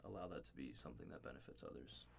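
A man's voice: a bass voice, animated, very fast, gravelly. A man in his twenties co-hosting a casual podcast from a dorm room, earnest and explaining at length with fillers. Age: 20s. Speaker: podcast co-host. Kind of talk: casual chat.

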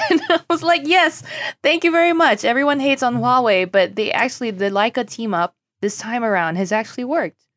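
A woman from Singapore chatting casually as a podcast guest, informal and animated in manner. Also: fairly high pitch, brisk, dry recording. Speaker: podcast guest, tech journalist. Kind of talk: casual chat.

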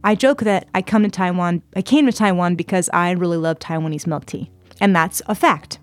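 A woman's voice: on the low side, fairly quick, over loud music. A woman in her twenties from San Diego, telling her story in a chatty interview style full of "like" and "uh". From San Diego, California, US. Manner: chatty, frequent fillers like "like" and "uh". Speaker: guest.

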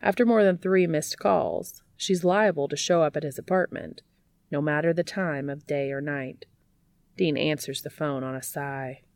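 A woman reading a story aloud as scripted narration, in an expressive audiobook style. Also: sits low, normal speed, no room sound. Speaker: audiobook reader of fan fiction.

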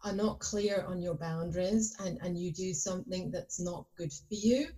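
A woman's voice: on the low side, normal speed, dark tone, rough recording. A woman guest on a podcast, talking in a rambling way with lots of fillers and restarts. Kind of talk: casual conversation.

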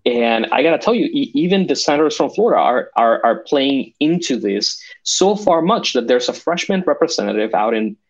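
A man's voice: mid-range voice, animated, moderate pace, few pauses, lo-fi sound. A man from Venezuela talking passionately about politics, with frequent restarts and fillers. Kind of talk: political discussion.

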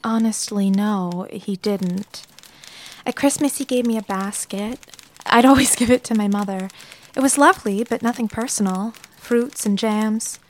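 A woman's voice: mid-range voice, unhurried, slight background noise, natural voice. A woman playing a genteel, kindly bride-to-be and office confidante in a period drama, chatty with hesitant asides. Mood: fond, chatty.

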